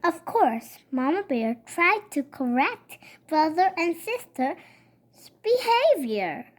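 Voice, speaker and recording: Child's voice, high, very animated melody, halting storybook read-aloud, stumbles and rereads words. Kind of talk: reading a children's picture book aloud.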